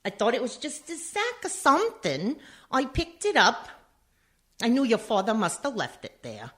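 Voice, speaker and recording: A woman's voice: on the high side, very animated, normal speed, a bit bright. A woman playing an earnest, devoted, melodramatic wife and mother in a comic radio play. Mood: grave, melodramatic.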